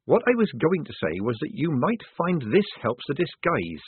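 A sensible, dry-witted young woman soldier, read by a man, pitched up and carefully reasonable. The audiobook recording sounds narrow, like a phone. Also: wildly swooping pitch, moderate pace, slight background noise.

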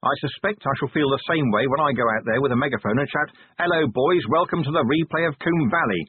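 A man voicing a weary, gruff middle-aged police commander with a sardonic edge. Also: mid-range voice, fast, no echo, narrow, tinny audio, pitched above the performer's own voice, natural voice.

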